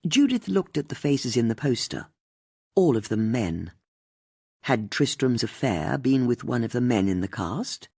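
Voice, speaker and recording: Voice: mid-range voice, very animated, a little slow, gravelly, a little mellow, slightly rough, no room sound, clean studio sound. An audiobook narrator reading scripted mystery fiction.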